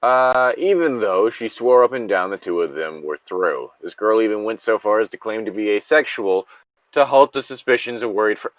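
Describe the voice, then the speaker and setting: A man's voice: on the low side, moderate pace, no echo, muffled recording. A man co-hosting a read-aloud with casual, sweary, self-deprecating commentary. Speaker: co-host reading a story aloud.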